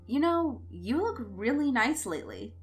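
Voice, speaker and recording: A woman's voice: fairly high pitch, very animated, unhurried, pitched above the performer's own voice. Woman reading as a guarded, casual college guy. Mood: flirty, probing. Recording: music behind the voice.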